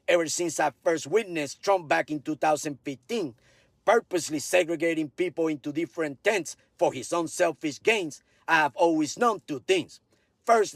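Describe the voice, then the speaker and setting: A man in his fifties with a Puerto Rican Spanish accent tells his own story in a blunt, cursing style, dropping Spanish asides along the way. His voice sits somewhat high for a man. The sound is dry, with no room echo. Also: vocal fry. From Puerto Rico. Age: 50s. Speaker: veteran storyteller. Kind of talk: personal storytelling monologue.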